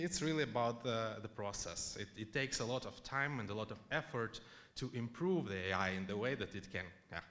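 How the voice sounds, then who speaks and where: A man's voice: lowish voice, fairly bright tone. A man from Ukraine giving a conference talk, chatty and loose, full of fillers and restarts. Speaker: conference speaker. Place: conference hall.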